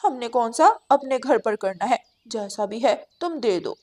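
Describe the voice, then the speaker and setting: High-voiced, domineering crow wife for a kids' cartoon, stingy and curt, voiced by a woman. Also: lively intonation, measured pace, mellow. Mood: scornful. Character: bossy, scheming wife crow, stingy and dismissive. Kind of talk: Hindi children's cartoon dubbing.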